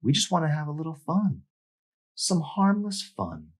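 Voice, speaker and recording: A man's voice: on the high side, wildly swooping pitch, unhurried, husky, voice pushed up, full character voice. A man voicing a cruel teenage mean girl from a novel, mocking and sneering. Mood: mocking cruelty.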